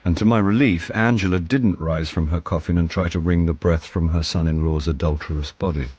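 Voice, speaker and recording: Deep-voiced, world-weary English sleuth. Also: male voice, brisk, breathy edge, highs cut off. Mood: wry, downbeat. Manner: dry, deadpan, self-mocking. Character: wry, world-weary male amateur sleuth, an English actor. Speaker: actor playing detective lead and narrator. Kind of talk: first-person radio drama narration and dialogue.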